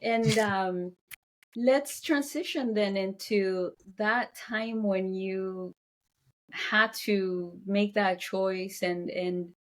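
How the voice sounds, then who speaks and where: A woman's voice: mid-range voice, steady tone, drawn-out words. A woman from Peru hosting a casual interview, speaking a little hesitantly as she searches for words. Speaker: interview host.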